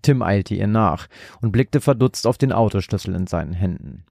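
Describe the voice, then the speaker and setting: A man narrates a scripted suspense story in a low voice. There is plenty of gravelly fry in it. The sound is close and dry, with no room to it. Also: moderate pace, a little mellow, no room sound.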